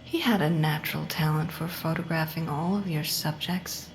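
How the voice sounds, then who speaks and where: An actress playing a vengeful, coldly controlled female patient in a psychological horror audio drama, mocking and deliberate, often dropping into breathy murmurs. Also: deep voice, steady tone, a little hiss or hum, poor audio. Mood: taunting.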